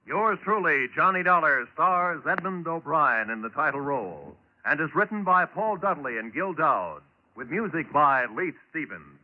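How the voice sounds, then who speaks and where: Lively-voiced 1940s radio announcer man. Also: fairly high pitch, normal speed, a little hiss or hum. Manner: crisp, formal, declamatory. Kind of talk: formal broadcast announcing. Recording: muffled 1940s radio broadcast with music.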